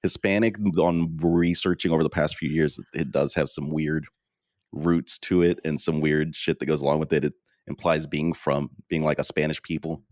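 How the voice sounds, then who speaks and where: A man with a very deep voice hosts a casual podcast discussion. He speaks conversationally, and the recording is dry with hardly any room sound. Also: fairly even intonation, moderate pace, darkish tone, no room sound. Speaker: podcast host.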